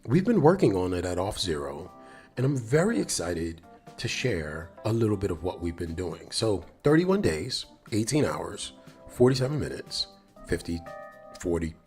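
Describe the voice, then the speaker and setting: A man with a fairly low, slightly nasal voice hosts a casual live stream over music. His intonation swings widely as he chats straight to his viewers. He is enthusiastic and rambles, often doubling back on his phrases. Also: big pitch swings, unhurried, darkish tone. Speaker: live stream host, developer advocate.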